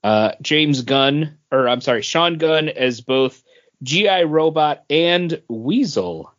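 A man's voice: fairly high pitch, expressive, slow pace, a bit bright. A man co-hosting a podcast, chatting casually about entertainment news. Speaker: podcast co-host. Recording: dull, lo-fi podcast audio.

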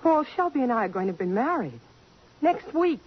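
A proud, refined young career woman in a vintage radio drama. She speaks earnestly and emphatically, with lively swings of pitch. The old broadcast is muffled, with faint noise behind her. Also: moderate pace. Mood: indignant, defensive. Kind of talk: 1950s radio drama acting.

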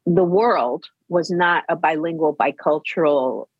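A middle-aged woman, an author and podcast co-host, talking casually and telling stories in a lively way. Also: low-pitched, a little slow, dry recording, lo-fi sound. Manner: lively storyteller, laughs easily.

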